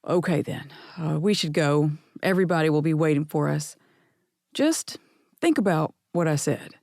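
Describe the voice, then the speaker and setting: A woman's voice: deep voice, expressive, moderate pace, vocal fry, darkish tone, dry recording, clean studio sound. A woman voicing a sincere, affectionate young man in an audiobook romance. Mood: earnest.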